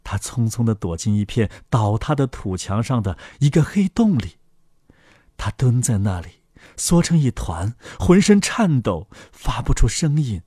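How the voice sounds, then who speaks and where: A man narrating a fairy tale for children as the host, in an expressive scripted storytelling style. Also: mid-range voice, measured pace, husky, dry recording, studio quality.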